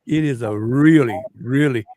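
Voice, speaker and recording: A man on a casual talk show panel, relaxed and asking questions. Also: medium pitch, unhurried, no room sound.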